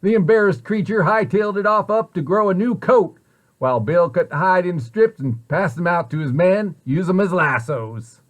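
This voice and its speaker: A man reading a children's story aloud in an expressive way, with deliberate, dramatic emphasis. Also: sits high. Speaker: storybook reader, site director at a children's center.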